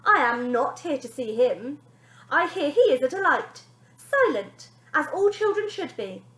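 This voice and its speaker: A woman voicing a strict, smug television nanny who sneers and speaks with cold mock sweetness and dramatic pauses, in an echoey room. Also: high-pitched, sing-song, a bit bright, voice pushed up, exaggerated voice.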